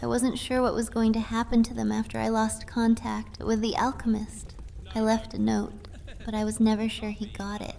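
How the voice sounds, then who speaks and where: Woman as a creaky-voiced, mellow fortune-telling witch. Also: fairly high pitch, completely flat delivery, normal speed, slight background noise. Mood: worried, reproachful. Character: mysterious Eastern witch and fortune teller, earnest, sly. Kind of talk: audio drama acting.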